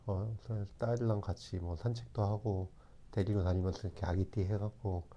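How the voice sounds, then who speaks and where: A man in his forties talking in a calm, reflective way, often pausing and restarting his sentences. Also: on the low side, a little hiss or hum. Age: 40s. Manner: calm, hesitant, with many restarts. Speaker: channel host. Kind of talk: reflective talk to camera.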